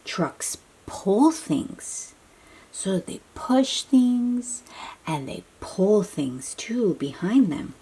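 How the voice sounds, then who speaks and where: A woman reading a picture book aloud to young children as host, putting questions to them and explaining things simply, often dropping into a breathy near-whisper. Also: medium pitch, very animated, drawn-out words, dark tone.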